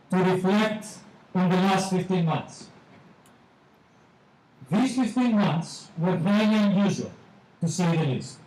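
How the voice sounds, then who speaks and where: A man with a Greek accent giving a measured graduation speech as a teacher, repeating phrases for emphasis. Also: high voice, darkish tone. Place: large hall at a ceremony. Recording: echoey hall, distant mic, faint noise.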